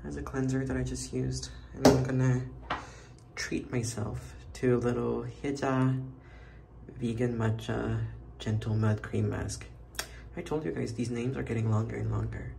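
Rambling young man with a gravelly edge. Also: mid-range voice, fairly even intonation, measured pace, warm tone, slight background noise, low-quality recording. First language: French. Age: young adult. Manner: chatty, rambling, self-mocking, many fillers. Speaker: vlogger. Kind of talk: casual travel vlog chat. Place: hotel room and bathroom, then night street market. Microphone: phone camera at arm's length.

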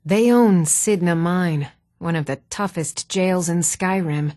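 A woman playing poised, articulate townswomen and noblewomen in a fantasy video game, with measured, formal delivery. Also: lowish voice, dark tone, dry recording. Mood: confiding. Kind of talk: scripted fantasy game dialogue.

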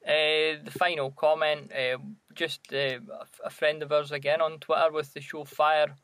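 A man's voice: a little high, little pitch movement, normal speed, fairly bright tone, dry recording. A Scottish man co-hosting a podcast, chatting casually in a hesitant, reflective way. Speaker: podcast co-host.

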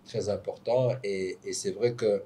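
A young man who grew up in Belgium, talking as a guest in an interview, halting and peppered with fillers, seated indoors at a table. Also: on the low side, fairly even intonation, dark tone, a little hiss or hum. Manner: hesitant, restarts often, adds "tu vois". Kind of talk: casual interview talk. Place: hotel lounge, indoors.

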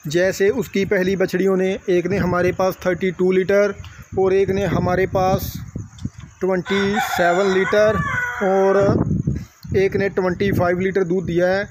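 A man with a high voice talks earnestly and promotionally in a casual farm walkthrough. He speaks in short bursts. The recording is outdoors and loud noise runs under his voice, with roosters crowing. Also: level tone, a little slow. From Punjab, India. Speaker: dairy farm owner showing cattle. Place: outdoor cattle pen on a farm.

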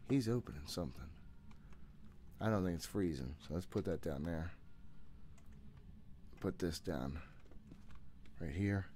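A man's voice: deep voice, lively intonation, unhurried, pauses often, warm tone, slight background noise. A man in his thirties giving casual live commentary as he plays a horror game, jumpy and swearing freely as he talks to himself. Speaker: video game streamer. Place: small room at home. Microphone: desk microphone close to the mouth.

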